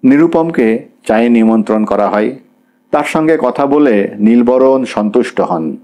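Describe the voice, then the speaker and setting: A man's voice: medium pitch, expressive, unhurried, warm tone. A man reading a scripted literary story as an audiobook narrator.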